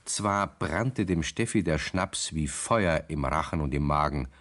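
A man's voice: very deep voice, a little slow, fairly bright tone. A man narrating a radio play in a scripted storybook style.

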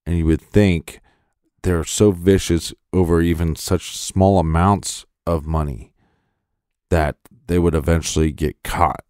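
A man co-hosting a true crime podcast, giving short, measured replies and comments. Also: sits low, fairly even intonation, darkish tone, smooth, no room sound, clean studio sound. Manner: brief measured replies and backchannels. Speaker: podcast co-host. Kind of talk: casual true crime discussion.

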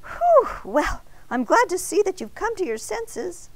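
A woman voicing an excitable, indignant little male donut in a children's storybook, dramatic and exclaiming but very polite when pleading. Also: sits high, measured pace, no echo, voice pushed up, full character voice, low-quality recording. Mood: relieved.

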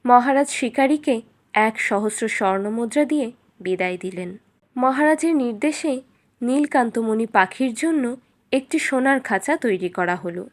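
Woman, storyteller, fairly high pitch, scripted children's fairy tale narration. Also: expressive, moderate pace.

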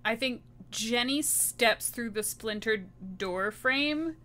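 A woman's voice: on the high side, slow, bright, forceful tone. A woman narrating her character's actions as a player in a tabletop roleplaying game.

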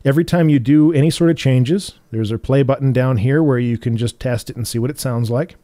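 Relaxed bassy man explaining software. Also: brisk, no echo. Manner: relaxed, explanatory, with fillers and restarts. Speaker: tutorial host. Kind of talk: screen-recorded software tutorial.

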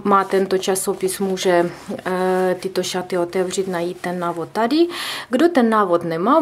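Female crochet tutor, Czech accent, halting delivery with frequent "eh" fillers. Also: medium pitch. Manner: hesitant, many filler sounds. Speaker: crochet teacher. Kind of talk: tutorial explanation. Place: indoors at a table.

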